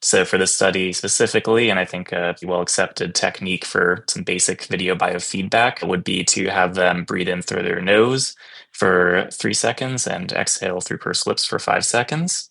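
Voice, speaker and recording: A man's voice: low voice, steady tone, moderate pace, creaky, sharp tone. A young man, a physician guest, giving explanatory answers in an interview.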